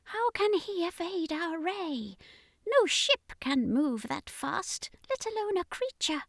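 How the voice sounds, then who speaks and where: A woman's voice: extremely high pitch, sing-song, slow, gravelly, dry recording, voice pushed up, exaggerated voice. A woman voicing an arrogant, merciless octopus alien commander in an audiobook, with a contemptuous, sneering manner. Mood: angry disbelief.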